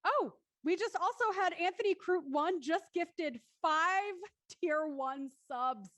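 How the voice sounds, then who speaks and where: A woman's voice: very high-pitched, expressive, takes it slowly, fairly bright tone, no echo. A woman hosting a casual live online discussion, talking in an enthusiastic, wordy way as she thinks aloud. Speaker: host of a live web show after-talk. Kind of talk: casual chat, moderating a discussion.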